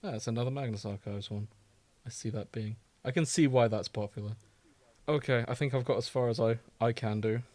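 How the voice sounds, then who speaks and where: Male podcast co-host, casual conversational delivery, mid-pitched voice in a dry recording. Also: a little fast, darkish tone, dry recording. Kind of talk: casual chat about fandom.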